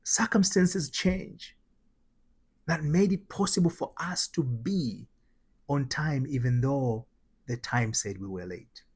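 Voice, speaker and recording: A man with a Tanzanian accent and a husky, slightly rough voice at a medium pitch. He speaks as a spiritual coach, repeating phrases for emphasis and posing rhetorical questions as he teaches through personal anecdotes. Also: animated, slow pace, a little mellow. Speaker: host, spiritual transformation coach.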